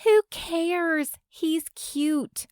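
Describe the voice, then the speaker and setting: A woman's voice: extremely high pitch, very slow, darkish tone, no room sound, studio quality, pitched above the performer's own voice, full character voice. A woman voicing a pushy young female fan who chases celebrities. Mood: dismissive.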